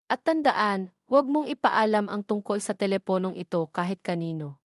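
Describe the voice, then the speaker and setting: An earnest young billionaire, polite yet pressed, as a female narrator reads him. His lines sit at a plain mid pitch. They are recorded close and dry. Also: moderate pace, dry recording, lightly acted.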